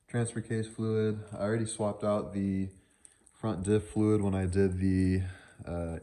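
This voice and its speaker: Man, low-pitched voice, tired, casual vlogger, unscripted, crude offhand joking. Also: dark tone. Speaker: vlogger showing truck upgrades. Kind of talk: casual unscripted walkaround vlog.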